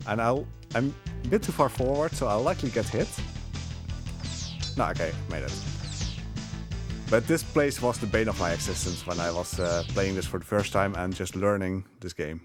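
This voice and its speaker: A man's voice: lowish voice, moderate pace. A man giving easygoing, conversational live commentary as he plays through a game. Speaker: speedrunner playing and commentating. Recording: game music behind.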